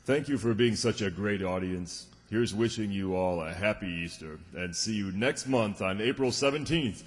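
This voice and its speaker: Low-voiced male announcer in an echoey hall. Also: normal speed. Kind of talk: old-time radio announcing to a live audience. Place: township hall stage. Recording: echoey hall, clear background noise.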